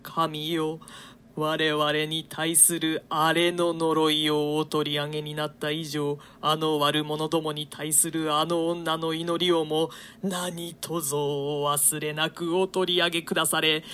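Stage drama: woman plays doomed, pious nobleman, solemn archaic declamation, measured pace, forceful bright tone. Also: low-pitched, level tone. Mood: solemn, imploring. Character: condemned nobleman, grave, bitter and pious. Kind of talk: theatrical reading of archaic translated Shakespeare.

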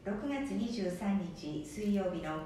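A woman's voice: lively intonation, slow pace, mellow. Female radio drama narrator, scripted, polite delivery, middle register; far from the mic, strong room echo. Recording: very echoey, distant, faint rumble noise.

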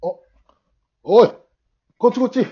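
A man playing a gentle, awkward father of a teenage girl in an amateur radio drama. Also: extremely high pitch, unhurried, slightly rough, exaggerated voice. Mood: awkward, tentative. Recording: dull remote home recording.

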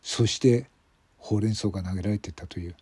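A man's voice: a little fast, darkish tone, slightly rough, no room sound. A man voicing a grave police detective in a radio drama, speaking seriously and urgently to a colleague. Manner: serious, low-key, urgent.

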